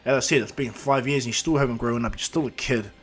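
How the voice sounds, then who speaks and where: A man's voice: medium pitch, quick pace, fairly bright tone, faint music behind, close to an everyday voice. A man acting out a brash, fed-up teenage boy hero. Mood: scornful. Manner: blunt, dismissive.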